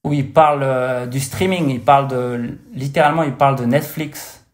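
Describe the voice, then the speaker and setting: A man's voice: mid-range voice, moderate pace. French guy w slight american accent, chatty podcast guest on a call, lots of euh and restarts. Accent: slight American-tinged French. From France. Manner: searching for words, many restarts and fillers. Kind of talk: casual interview chat. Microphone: remote call over computer.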